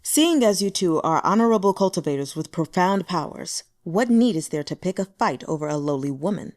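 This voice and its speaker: A woman voicing a calm, powerful male cultivator who speaks with cool condescension. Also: lowish voice, a little fast, lightly acted. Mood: coolly dismissive.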